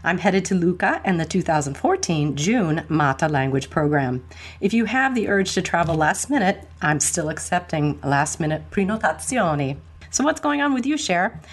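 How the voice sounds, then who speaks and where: Husky woman hosting a language podcast. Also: on the low side, very animated, moderate pace. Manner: mixes Italian phrases into English, upbeat. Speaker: podcast host. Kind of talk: scripted podcast talk.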